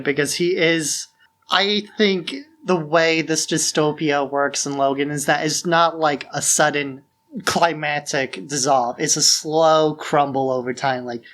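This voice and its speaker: A man's voice: fairly high pitch, fairly bright tone. A man co-hosting a podcast, talking through films in a casual, reflective and analytical way. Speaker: podcast co-host. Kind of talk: casual film discussion.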